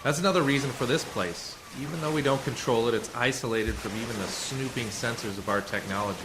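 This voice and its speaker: A man playing a calm, assured undercover rescuer in a sci-fi radio play, speaking in a measured, explanatory way. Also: medium pitch, close to an everyday voice. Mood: calm, explaining. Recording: noisy community radio drama recording.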